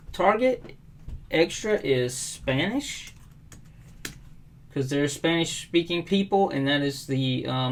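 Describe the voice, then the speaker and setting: A middle-aged man from South Carolina talks through a software tutorial at an easy, slow pace. His pitch sits in the middle, and his speech is relaxed and full of ums. Keys clatter now and then as he types while he explains. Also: clear, resonant tone. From South Carolina, USA. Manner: relaxed, unhurried, frequent ums and uhs. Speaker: WordPress tutorial host. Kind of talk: casual screen-recorded software tutorial. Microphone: webcam overlay at desk.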